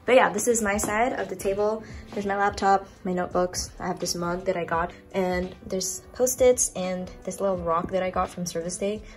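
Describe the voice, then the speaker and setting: A young woman from Indonesia talks in a medium-pitched voice, informal and bubbly. She chats like a vlogger showing friends around, with light music in the recording. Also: moderate pace. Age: young adult. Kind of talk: casual room-tour vlog chat. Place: small furnished dorm rooms and bathroom.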